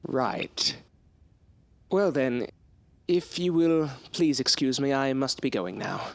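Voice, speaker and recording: A man plays a young period-drama gentleman, a bookish and headstrong student. His diction is formal and stiffly polite. The sound is close and dry. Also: medium pitch, lively intonation, slow pace, no room sound. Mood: coolly polite. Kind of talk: period audio drama acting.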